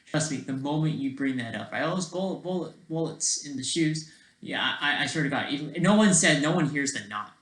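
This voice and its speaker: A person thinking aloud in casual, rambling, digressive commentary as a video creator, with a creaky voice. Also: mid-range voice, expressive, brisk, fairly bright tone, poor audio.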